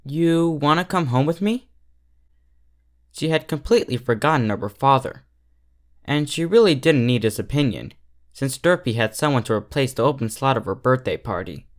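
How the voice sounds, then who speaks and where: A man's voice: medium pitch, expressive, brisk. A man reading a story aloud as scripted narration. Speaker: fan fiction reader.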